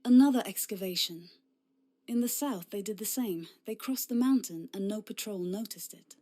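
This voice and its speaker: A woman acts a young female rookie soldier in a fantasy game. Her voice is mellow and mid-pitched, earnest and respectful toward her superiors. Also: normal speed, close to an everyday voice. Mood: grave. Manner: earnest, deferential. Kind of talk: dramatic video game cutscene acting.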